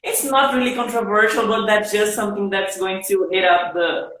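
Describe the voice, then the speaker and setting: A man hosting an interview in English, not his first language, asking questions slowly and with restarts. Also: high-pitched, steady tone, a bit bright, heavy reverb, a little hiss or hum, rough recording. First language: Portuguese. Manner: slow, deliberate questions with restarts. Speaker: host, English teacher. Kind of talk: casual interview.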